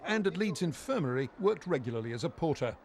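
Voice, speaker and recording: A male news reporter delivers scripted narration for a television package. His voice is on the higher side for a man. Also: sing-song, quick pace.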